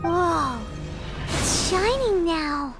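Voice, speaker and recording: A woman voicing a tiny, childlike fairy companion in a video game, with a squeaky, eager, exclamatory delivery. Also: animated, very slow, heavily acted. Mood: excited. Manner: exclamatory, eager, wide-eyed. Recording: game music under the voice.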